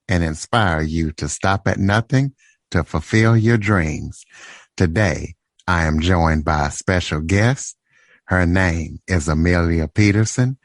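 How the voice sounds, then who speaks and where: A man hosting an interview podcast, asking steady questions with small restarts. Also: low voice, no echo, clean studio sound. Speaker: podcast host.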